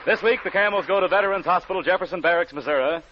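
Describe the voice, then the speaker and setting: Male vintage announcer, highish voice, formal declamatory read, dull old broadcast sound. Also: very fast, crowd noise, background noise. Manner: formal, declamatory list reading. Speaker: radio announcer. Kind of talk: scripted 1940s radio announcing. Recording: muffled 1940s radio broadcast.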